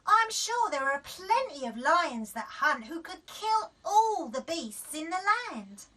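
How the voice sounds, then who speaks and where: Lady doing an earnest little boy lion for a bedtime story, really high and bright, pleading. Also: big pitch swings, slow pace, far from the mic, full character voice. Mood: bewildered. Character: gentle, bewildered young male lion cub, loving and earnest.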